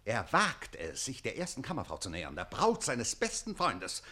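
An actor playing an imperious, jealous, hot-tempered count in a German radio play, commanding and blustering. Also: male voice, medium pitch, wildly swooping pitch, normal speed, pushed and bright, full character voice. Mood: outraged.

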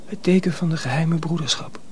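An actor playing a naive, earnest teenage apprentice in a Dutch radio drama, with an eager, youthful manner. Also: male voice, a little high, brisk, darkish tone, a little hiss or hum, close to an everyday voice. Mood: solemn.

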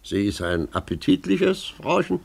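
A man's voice: a little high, big pitch swings, unhurried, vocal fry, full character voice. An actor playing a blunt, earthy, practical-minded man in a German radio play, talking in a matter-of-fact way. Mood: blunt, insistent.

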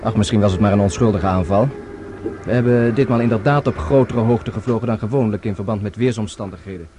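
Actor as a quick-talking, courteous male official. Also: fairly low pitch, noisy background, lightly acted. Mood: polite, reassuring. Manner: polite, reassuring. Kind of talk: radio play acting.

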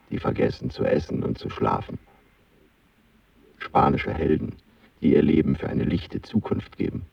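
An actor playing a weary, idealistic artist speaking his introspective inner diary in a literary radio play, in measured poetic phrases. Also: a bass voice, vocal fry, husky, no echo, far from the mic, lo-fi sound, full character voice.

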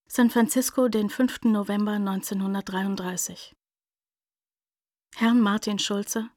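A woman reading as a formal, detached announcer in a scripted radio play, with deliberate phrasing. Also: medium pitch, a little mellow, no room sound, studio quality. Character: formal female reader of addresses and dates. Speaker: announcer of letter headings.

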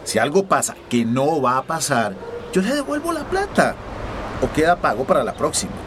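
An actor playing a brash, cynical people smuggler in a radio drama, talking in a cocky, streetwise way. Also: male voice, on the high side, sing-song, normal speed. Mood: brusque, cocky. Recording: noisy outdoor street ambience with traffic.

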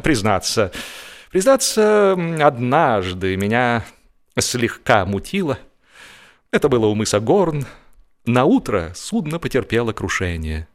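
A man's voice: mid-range voice, sing-song, unhurried. A man voicing a boastful, pompous traveller in a comic radio story. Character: boastful traveller, self-important man who hides his seasickness.

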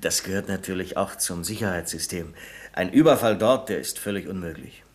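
Guy acting as polite bank clerk in a radio play, lowish voice, apologetic and eager to explain to the boss. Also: a little fast, natural voice. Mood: dutiful, assured. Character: deferential, apologetic male bank employee under his boss.

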